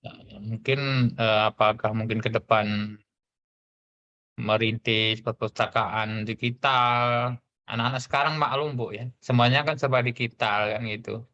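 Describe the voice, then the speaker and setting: A man's voice: medium pitch, flat delivery, a little slow, pushed and bright, highs cut off. A man with a strong Javanese accent, speaking as a coach in a chatty, rambling conversation.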